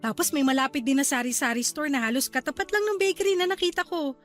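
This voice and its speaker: Tender young wife and mother in radio drama, high-pitched, chatty and reassuring, music underneath. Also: quick pace, a bit bright. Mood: light, chatty. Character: affectionate young wife and new mother, apologetic, content. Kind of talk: Tagalog radio drama acting.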